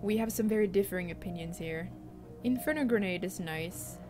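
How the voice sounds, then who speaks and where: A woman's voice: mid-range voice, a little fast. Finland-Swedish woman musing over gameplay. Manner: thinks aloud, chatty and musing. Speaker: let's play streamer. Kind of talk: casual gameplay commentary. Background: game music.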